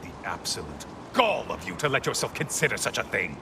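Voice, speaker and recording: A man's voice: medium pitch, huge pitch swings, fairly quick, gravelly, background noise. An actor playing a spiteful, sneering male sun god in a video game, taunting and theatrical. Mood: mocking. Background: game music.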